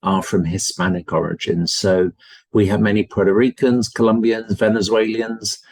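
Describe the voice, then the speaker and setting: A man's voice: on the low side, level tone, normal speed, dry recording. A man with a British English accent, a university representative giving a polite, enthusiastic webinar presentation over a video call. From United Kingdom.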